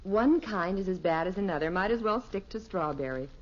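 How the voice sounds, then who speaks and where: A woman's voice: on the low side, lively intonation, a little fast. An actress playing a patient, wry middle-aged housewife and mother in a 1950s radio sitcom, with a dry, knowing manner. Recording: muffled vintage radio recording.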